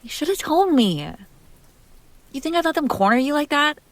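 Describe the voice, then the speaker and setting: A woman's voice: sits high, big pitch swings, normal speed, no room sound. Woman acting a jealous, protective lover, close and dry. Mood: frustrated, upset. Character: jealous, possessive, fiercely protective young woman confessing love. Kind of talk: intimate roleplay audio drama. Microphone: close microphone, dry sound.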